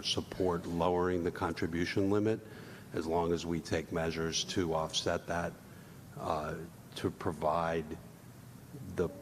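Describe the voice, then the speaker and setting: A man speaking as a commissioner at a public hearing, with deliberate, lawyerly, measured phrasing. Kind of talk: formal questioning at public hearing. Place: large indoor public hearing room. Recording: echoey hall, rumbling room noise.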